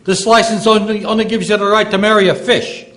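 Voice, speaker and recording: A man's voice: high-pitched, level tone, fairly quick, a bit bright. An actor playing a blustering, easily flustered, overprotective father in the style of a 1940s radio sitcom. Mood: distraught bluster. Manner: exclaiming, stammering when cornered. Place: live staged radio re-creation.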